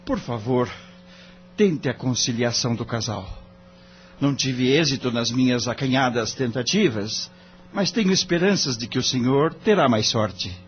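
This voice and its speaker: An actor playing an earnest, nervous and humble young lawyer, polite and tentative, in an audio drama. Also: male voice, mid-range voice, expressive, normal speed, a little hiss or hum, close to an everyday voice. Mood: earnest, respectful. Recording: dull lo-fi audio. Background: music.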